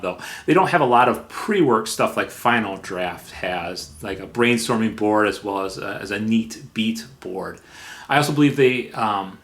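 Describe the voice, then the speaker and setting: Male reviewer, lowish pitch, emphatic and enthusiastic, semi-scripted delivery with casual asides. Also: fairly bright tone. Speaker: software reviewer.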